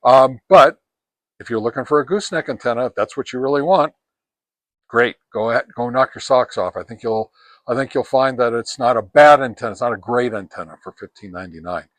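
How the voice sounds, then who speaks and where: A man presenting an informal, unscripted product review, speaking haltingly with frequent pauses in mid-sentence. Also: mid-range voice, a bit bright, dry recording. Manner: halting, pausing often mid-sentence, clears throat. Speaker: reviewer, presenter of radio antenna tests.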